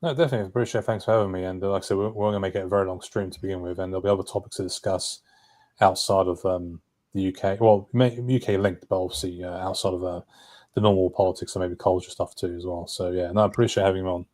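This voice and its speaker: A man's voice: low voice, fairly even intonation, quick pace, a little mellow. A man giving political commentary as a livestream guest, talking on with asides and self-corrections. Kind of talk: political discussion.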